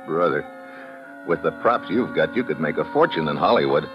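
Deep-voiced, hard-boiled American radio hero. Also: male voice, animated, unhurried, over music. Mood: sardonic. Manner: hard-boiled, sardonic, clipped. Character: tough wry American male adventurer narrating his own case. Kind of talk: 1950s radio detective drama acting. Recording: muffled vintage radio recording.

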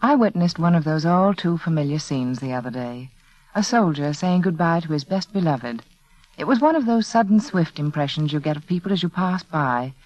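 A woman speaks as a 1940s radio hostess with a lowish, very expressive voice. The delivery is polished and persuasive, heard through a muffled vintage recording. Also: moderate pace. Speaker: radio show hostess and sponsor spokeswoman. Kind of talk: 1940s scripted radio commercial narration.

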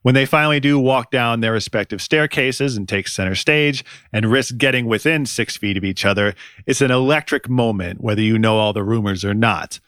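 A man hosting a music podcast, reading scripted commentary in a dry, wry, deadpan way. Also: mid-range voice, moderate pace, few pauses, no echo. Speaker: podcast host.

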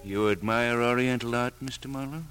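A man's voice: mid-range voice, moderate pace, fairly bright tone, soft background music, close to an everyday voice. An actor playing a polite, guarded male servant with a formal, careful manner, in classic radio drama style. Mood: deferential, probing. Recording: humming, noisy vintage radio recording.

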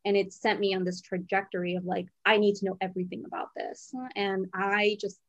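A woman in her thirties from Brazil, a money coach and podcast guest, telling her story in an animated, chatty way and laughing easily. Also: medium pitch, fairly quick, no room sound. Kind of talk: casual interview storytelling. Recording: dull, slightly lo-fi sound.

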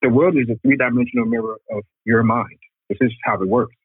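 A man calling in as a guest, calmly explaining spiritual ideas as a teacher of martial arts and metaphysics. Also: medium pitch, fairly quick, slightly rough, dry recording. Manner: calm, steady, explanatory. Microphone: phone call. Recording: tinny phone line.

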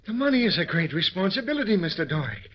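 An actor playing an anxious, fretful, deferential tax commissioner in an old-time radio drama. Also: male voice, high-pitched, big pitch swings, quick pace, slight background noise. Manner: nervous, worried, deferential. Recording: dull vintage radio recording.

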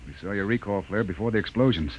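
An actor playing a brisk, authoritative captain of a space expedition in an old science fiction radio drama. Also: male voice, on the low side, breathy edge, lo-fi sound. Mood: grim, frustrated. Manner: brisk, authoritative, giving orders. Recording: noisy, crackly old radio recording.

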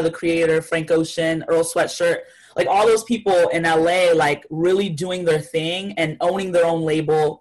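A woman's voice: lowish voice, poor audio. A young woman from Haiti, a guest artist talking casually and thoughtfully in an interview, with many fillers.

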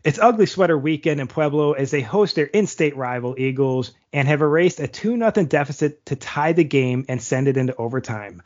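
A man co-hosting a hockey podcast, a goalie, reading play-by-play rundowns of highlight goals and chatting with the others. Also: brisk, few pauses, a little mellow, muffled recording. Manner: reads plays like sports commentary, then chats loosely.

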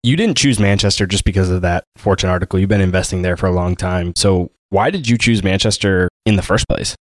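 Fast-talking deep-voiced male podcast host. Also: dry recording, clean studio sound. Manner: direct, quick questioning. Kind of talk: casual interview hosting.

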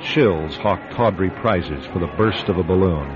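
Casting: low, solemn radio drama narrator, deliberate and atmospheric, music underneath, muffled vintage sound. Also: male voice. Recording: muffled old radio recording. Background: prominent music.

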